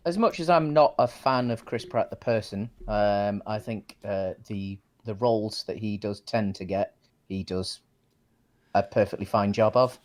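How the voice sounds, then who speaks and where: A man co-hosting a casual film discussion, speaking in a tentative, measured conversational way. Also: on the low side. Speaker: co-host of a film discussion show. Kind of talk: casual chat.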